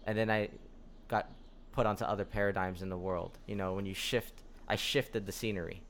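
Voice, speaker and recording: A young man with a fairly low voice, talking in an easygoing, rambling way and leaning on lots of fillers. He sounds like a podcast guest in casual conversation. A faint hiss sits behind him. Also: fairly quick. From United States. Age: young adult. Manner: relaxed, rambling storyteller with frequent fillers.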